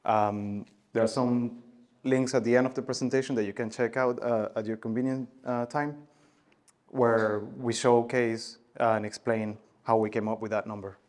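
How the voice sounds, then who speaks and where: Man giving a halting tech talk. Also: medium pitch, little pitch movement. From Venezuela. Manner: halting, many filler sounds and restarts, loudness rising and falling. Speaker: conference speaker. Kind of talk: conference talk.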